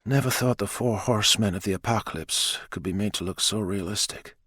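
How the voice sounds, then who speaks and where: A man's voice: lowish voice, brisk, a bit bright, slightly rough, dry recording. Man as stressed senior woman officer, grave, subdued, audiobook dialogue, dry sound. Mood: strained, brooding.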